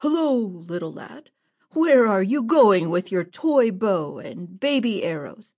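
A woman's voice: medium pitch, very animated, slow, a little mellow, breathy edge, full character voice. A woman voicing a big, mocking one-eyed man who taunts and threatens. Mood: taunting.

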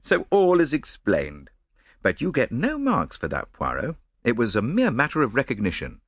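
A man voicing an earnest, romantic gentleman, eager and exclaiming. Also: medium pitch, wildly swooping pitch, normal speed, no echo, clean studio sound, voice pushed up. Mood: teasing.

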